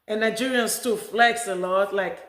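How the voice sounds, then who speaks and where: A woman's voice: medium pitch, moderate pace, a bit bright. A middle-aged woman from Africa talking casually to camera as a vlogger, rambling with many restarts. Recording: echoey room.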